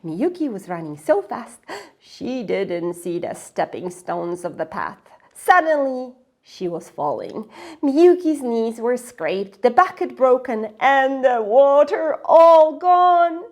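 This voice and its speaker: High, very animated woman storyteller. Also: takes it slowly. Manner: dramatic, dropping to hushed breathy phrases. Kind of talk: expressive children's read-aloud narration.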